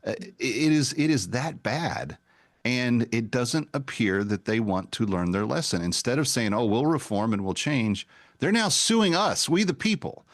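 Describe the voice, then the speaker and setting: Male talk show host, animated and emphatic, lots of pitch movement. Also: unhurried. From United States, far from the East Coast. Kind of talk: opinion talk radio.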